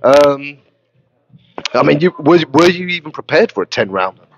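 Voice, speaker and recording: A man's voice: on the high side, sing-song, normal speed, dry recording, highs cut off. A man interviewing a boxer at a gym, asking long, rambling questions with plenty of ums and uhs. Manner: chatty, rambling questions with fillers. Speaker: boxing interviewer. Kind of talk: casual interview. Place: boxing gym, indoors.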